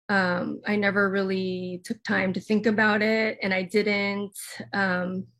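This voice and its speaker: Young American woman, interview guest, mid-pitched, halting delivery with frequent fillers. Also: fairly bright tone. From United States. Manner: halting, lots of fillers and restarts. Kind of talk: personal interview answers.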